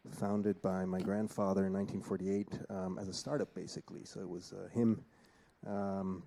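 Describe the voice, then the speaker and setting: A man from Switzerland speaking as a panelist on a conference panel, measured and with frequent ums. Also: lowish voice, dark tone.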